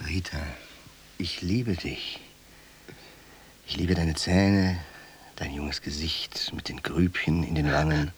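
An actor in a German radio play, playing a middle-aged, world-weary rich man with a husky voice and a languid, musing manner. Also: low-pitched, fairly bright tone, slight background noise.

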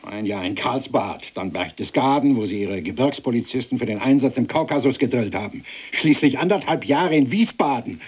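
Husky, mocking old soldier prisoner. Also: male voice, mid-range voice, darkish tone. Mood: scornful. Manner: mocking, drawn-out emphasis, colloquial. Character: sardonic, plain-spoken middle-aged male German ex-policeman prisoner, WWI veteran. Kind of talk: German radio play acting.

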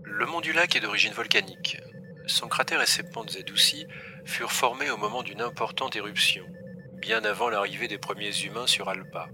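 A man's voice: lowish voice, normal speed, over music, lightly acted. A man playing an obliging, precise, didactic machine guide in a French audio drama.

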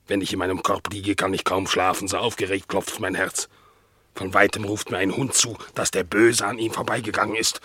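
Radio play bulldog, low, bright forceful voice, amorous, dramatic, boastful. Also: male voice, level tone, fairly quick, slightly rough, dry recording, exaggerated voice. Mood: dramatic, fervent. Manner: declamatory, dramatic storytelling. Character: male bulldog, excitable, amorous, world-weary braggart. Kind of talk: radio play acting, theatrical.

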